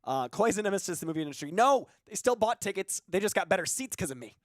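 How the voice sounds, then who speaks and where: A man's voice: sits high, big pitch swings, quick pace, dry recording, clean studio sound. A man in his thirties, a co-host chatting casually and a little self-deprecatingly while still getting over an illness. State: recovering from illness. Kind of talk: casual chat.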